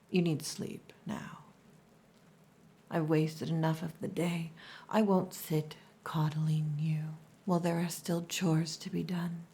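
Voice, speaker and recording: Woman as a slow, whispering, possessive orc lover. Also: low-pitched, a little hiss or hum, exaggerated voice. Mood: teasing, bossy. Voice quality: breathy, largely whispered. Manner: slow, hushed, softly commanding. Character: possessive, gruff but tender female orc lover. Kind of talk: ASMR roleplay.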